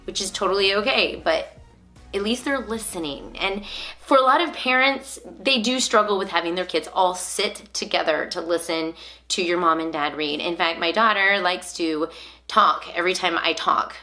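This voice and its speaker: A woman's voice: lowish voice, normal speed, sharp tone. A woman, a homeschooling mother, talking casually and reading aloud in a chatty vlog style. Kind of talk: casual vlog talk with read-aloud storytelling. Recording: music over the voice. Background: music.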